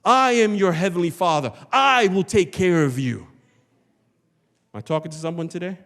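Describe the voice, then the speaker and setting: A man's voice: sits high, lively intonation, moderate pace, fairly bright tone. Emphatic male preacher in a reverberant hall. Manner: emphatic, rhetorical, repeats phrases for effect. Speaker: pastor preaching. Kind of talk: animated church sermon. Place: large church hall, before a congregation. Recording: long hall reverb, church sanctuary PA.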